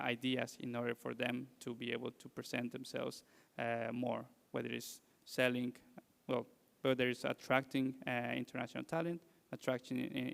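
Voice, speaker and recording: Gravelly-voiced Mexican man giving a talk. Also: medium pitch. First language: Spanish. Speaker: conference speaker. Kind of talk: public presentation.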